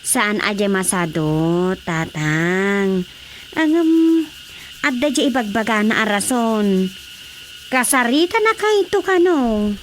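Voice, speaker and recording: A woman's voice: mid-range voice, animated, takes it slowly, slight background noise. An actress playing a chatty, frank younger village woman in a radio comedy drama. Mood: questioning, frank. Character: chairman's chatty, frank younger female relative or aide.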